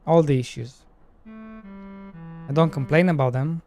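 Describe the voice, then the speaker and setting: A man's voice: fairly high pitch, steady tone, takes it slowly, darkish tone, faint music behind. A man who develops indie games, chatting casually with a friend on a live stream in an easygoing way. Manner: easygoing, says "bro".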